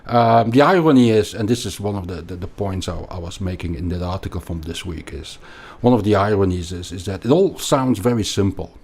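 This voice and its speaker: A man with a Belgian accent explaining things as an interview guest, haltingly and emphatically, often repeating words. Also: deep voice, darkish tone, breathy edge. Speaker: podcast guest, investment analyst.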